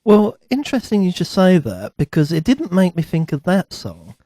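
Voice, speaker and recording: A man with a fairly high, mellow voice joins a casual radio chat about music. He speaks thoughtfully and rephrases himself as he goes. The recording is dry and close. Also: very animated, moderate pace, no room sound.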